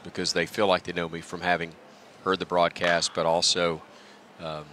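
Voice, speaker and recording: A middle-aged man with a very deep, forceful voice talks in a conversational booth interview. He is modest and reflective, poking fun at himself. Faint outdoor ballpark ambience sits behind his headset mic. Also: little pitch movement, normal speed, a little hiss or hum. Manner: self-deprecating, joking, reflective. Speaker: guest, radio play-by-play announcer. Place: stadium press booth at a baseball game. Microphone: broadcast headset.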